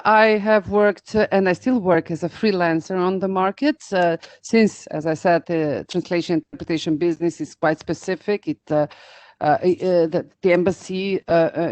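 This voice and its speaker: A woman's voice: on the low side, darkish tone, no echo. Hesitant woman with an Albanian accent. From North Macedonia. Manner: hesitant, many pauses and fillers. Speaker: interpreter and business owner. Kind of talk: answering interview questions.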